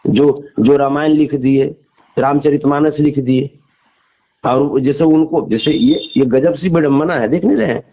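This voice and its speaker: A man's voice: a little high, unhurried. A man argues his opinions emphatically in a phone interview, repeating phrases and posing rhetorical questions. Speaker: interview guest. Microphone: phone call. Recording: dull lo-fi phone call recording.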